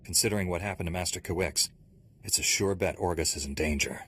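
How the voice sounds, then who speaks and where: A man playing a resolute Jedi Knight in a video game, measured and composed, with a dry wit. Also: deep voice, a bit bright, breathy edge. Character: resolute male Jedi Knight, calm and dryly wry. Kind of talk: scripted video game acting.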